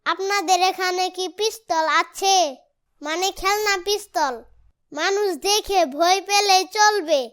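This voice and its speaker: Bold little cartoon kid: tiny, very high, sharp, cheeky and eager. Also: unhurried. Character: cheeky, food-loving, bold little child. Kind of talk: animated cartoon voice acting.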